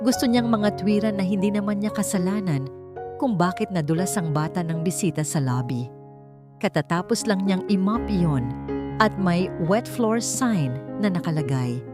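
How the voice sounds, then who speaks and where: Woman narrating a dramatic story, low-pitched. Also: lively intonation, normal speed, over music. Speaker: narrator of a Tagalog love story.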